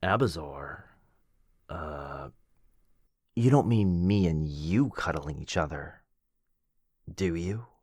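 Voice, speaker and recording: A man's voice: low-pitched, expressive, slow pace, pauses often, darkish tone, slightly rough, no echo, clean studio sound, full character voice. A man playing a cocky, jealous werewolf. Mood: wary. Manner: cocky, mocking, bouncy teasing. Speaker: voice actor in boyfriend roleplay. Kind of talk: ASMR roleplay acting.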